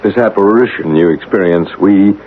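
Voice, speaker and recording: An actor playing a calm, methodical psychic investigator in a 1970s radio drama, measured and reassuring. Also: male voice, low voice, close to an everyday voice. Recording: lo-fi, noisy old radio broadcast.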